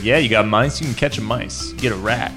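A man's voice: on the low side, quick pace, over music. A man performing an improvised comedy scene as an improv comedian.

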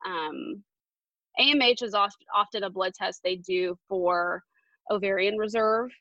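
An earnest woman around forty shares a personal story as a podcast guest, pausing often with fillers. Her voice sits at an ordinary pitch. The recording is dry and a little dull. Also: a bit bright, dry recording. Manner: earnest, halting, with many fillers.

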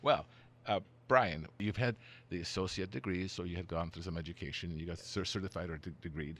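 Older guy hosting a podcast, really low voice, rambly and chuckly. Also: brisk, a bit bright. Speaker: podcast host. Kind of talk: casual interview.

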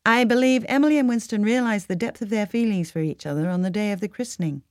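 A woman voicing a shrewd, wealthy grandmother nearing eighty, who speaks in a dry, knowing, gently teasing way. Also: mid-range voice, quick pace, no echo, pitched above the performer's own voice, close to an everyday voice.

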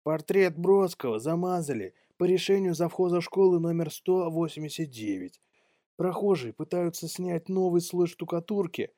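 Dry-sounding, higher-voiced man co-hosting comedy news. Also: expressive, normal speed, dark tone, no echo. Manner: playful, irreverent, slangy. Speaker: comedy news show co-host. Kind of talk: news read-outs mixed with casual joking commentary.

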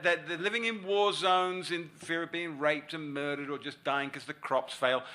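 A man hosting a panel discussion, talking in halting starts with sarcastic mock outrage. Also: a little high, bright, forceful tone.

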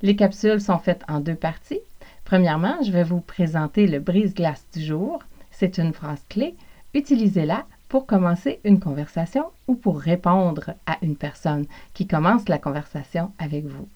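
A woman with a Québécois accent hosts a French lesson podcast, speaking slowly and carefully so listeners can repeat after her. Her voice sits a little low. The recording sounds dull and lo-fi. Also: lively intonation. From Quebec, Montreal South Shore. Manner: clear, slow teacher's delivery, repeating phrases for practice. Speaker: podcast host and French teacher.

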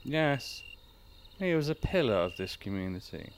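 An actor playing a pompous lodge master of a werewolf cult in a comic horror audio drama, grandiose with dry asides. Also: male voice, medium pitch, very animated, normal speed. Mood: proud, solemn. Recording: night insect ambience, clear noise.

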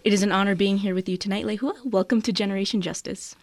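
Young female interviewer, formal prepared questions, average pitch, dry recording. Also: steady tone, fast talker, no room sound. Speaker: youth producer and interviewer.

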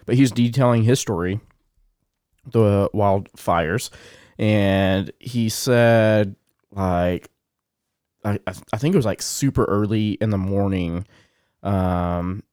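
A man's voice: lowish voice, slow pace, long pauses, a little mellow, clear, resonant tone, no room sound, clean studio sound. A man co-hosting a podcast, rambling casually with many fillers and self-corrections, a bit sniffly. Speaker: podcast co-host. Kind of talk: casual chat.

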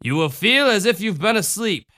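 A man voicing a gentle, solemn motherly woman who comforts a child, speaking slowly and deliberately. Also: high-pitched, pitched above the performer's own voice. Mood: comforting. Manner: slow, calm, deliberate speech.

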